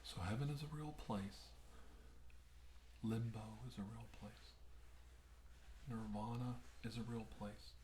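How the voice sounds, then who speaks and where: A low male voice in a roomy, echoing space with some background noise. A man plays a calm military doctor in a hushed ASMR exam, soft and reassuring, drifting often into whispers. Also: slow pace, long pauses. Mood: calm, earnest. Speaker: ASMR role-play performer. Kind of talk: soft-spoken ASMR medical role-play. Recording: roomy echo with background hiss.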